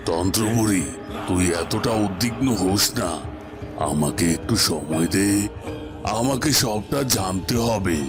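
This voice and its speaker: A man's voice: low voice, animated, unhurried. A man voicing a grave, authoritative tantric holy man in a cartoon, protective toward those who come to him. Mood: grave, reassuring. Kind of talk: Bengali cartoon voice acting. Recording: music behind the voice. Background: background music.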